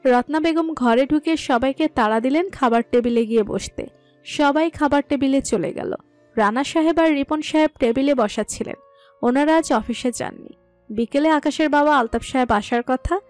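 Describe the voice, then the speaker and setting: Woman narrating a romance story, high voice. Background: soft music.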